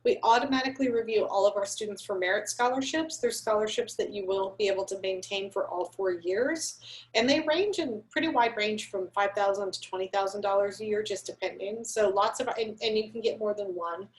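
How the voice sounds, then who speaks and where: A woman's voice: mid-range voice, a little fast, low-quality recording. A woman admissions counselor giving a friendly, chatty presentation on a video call. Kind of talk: webinar presentation.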